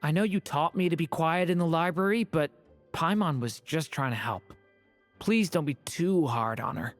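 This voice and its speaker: Gentle dragon boy, courteous, hesitant, lively intonation, game character, light music behind. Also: low voice, normal speed. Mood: apologetic, hesitant. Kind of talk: video game voice acting.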